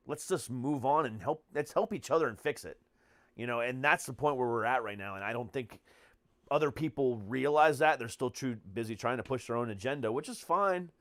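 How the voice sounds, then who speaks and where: A man's voice: medium pitch, fairly quick, a bit bright, no room sound, clean studio sound. An American man hosting a podcast in casual chat, speaking bluntly and swearing freely. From United States. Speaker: podcast host and comedian.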